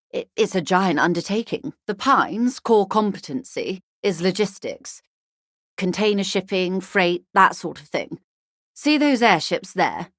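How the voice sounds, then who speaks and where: An actress playing a shrewd, genteel corporate negotiator in a video game, speaking in a refined, articulate and dryly understated manner. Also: female voice, medium pitch, dry recording, clean studio sound, close to an everyday voice. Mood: composed, informative. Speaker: video game character voice actor. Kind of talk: video game dialogue, measured conversational delivery.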